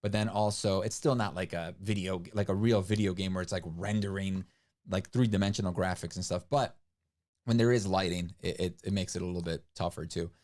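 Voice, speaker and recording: A man's voice: sits low, fairly even intonation, brisk, a little mellow. A man co-hosting a livestream, chatting casually and rambling with lots of fillers and restarts. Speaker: livestream co-host. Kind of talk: casual stream chat.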